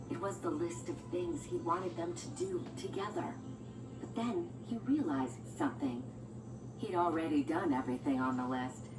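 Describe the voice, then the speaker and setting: A woman narrating a scripted children's storybook as a read-along storyteller. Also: fairly low pitch. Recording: echoey, distant, music bed. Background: music and sound effects.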